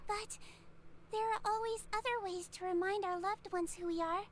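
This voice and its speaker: A woman voicing a small, gentle Melusine head nurse in a video game, measured, formal and reassuring in manner. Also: extremely high pitch, steady tone, a little hiss or hum. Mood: sorrowful, gentle. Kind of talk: scripted video game voice acting.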